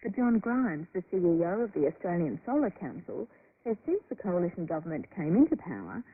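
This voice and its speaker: A woman's voice: on the low side, big pitch swings, a little fast. A woman reading a scripted news report as a radio reporter. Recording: noisy narrowband shortwave radio broadcast.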